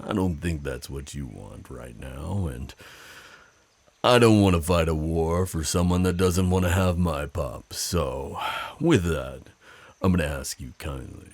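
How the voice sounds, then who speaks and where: Man acting as a male werewolf, extremely low pitch, slow drawn-out pacing, husky, teasing, protective of his forest, intimate ASMR roleplay. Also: a little mellow, heavily acted. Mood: teasing, seductive, then stern, teasing. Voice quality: very deep, gravelly, husky. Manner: slow, teasing, chuckling, deliberate pauses.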